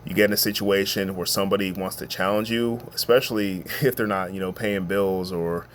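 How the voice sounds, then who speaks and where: A middle-aged man with a deep, bassy voice giving an informal advice monologue, talking conversationally and stressing his points. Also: steady tone, brisk, slight background noise. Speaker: podcast host giving family advice.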